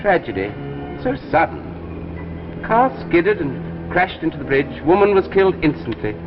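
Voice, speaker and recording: A muffled 1950s broadcast drama with music behind it. a suave, sinister man who speaks in a knowing, theatrical manner, slightly high in pitch and swinging dramatically up and down. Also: very animated, moderate pace. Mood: calm, ominous. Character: suave, sinister male dealer in fateful stories. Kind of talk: 1950s anthology drama acting.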